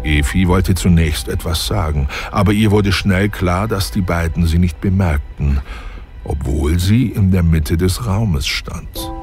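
Deep male narrator, unhurried, audio drama. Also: darkish tone, faint music behind. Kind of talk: scripted narration.

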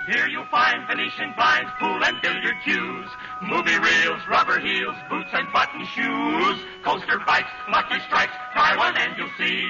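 Chirpy elevator operators singing in quartet, very high, lively, with loud music. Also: male voice, unhurried, hardly any pauses, full character voice. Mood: cheerful. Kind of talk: 1940s radio jingle singing. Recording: muffled 1940s radio recording.

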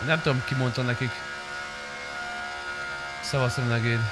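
A man's voice: mid-range voice, steady tone. A young adult man chatting casually and swearing freely as he streams a roleplay game. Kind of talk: casual roleplay gaming chat. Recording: clear car engine noise behind.

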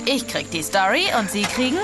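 A woman's voice: mid-range voice, big pitch swings, brisk, over music, natural voice. A woman playing a feisty, plucky young reporter in a German video game dub. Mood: eager, brisk.

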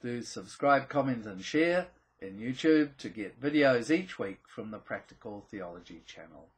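A man presents a scripted explainer to camera, with deliberate, emphatic phrasing, dramatic pauses and a slightly husky voice. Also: mid-range voice, lively intonation. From New Zealand. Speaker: presenter of a theology video channel.

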